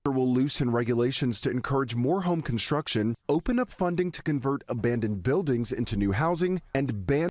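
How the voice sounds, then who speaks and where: A man reading a broadcast news report in a measured, formal delivery. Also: mid-range voice, lively intonation, darkish tone, dry recording, clean studio sound. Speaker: news anchor.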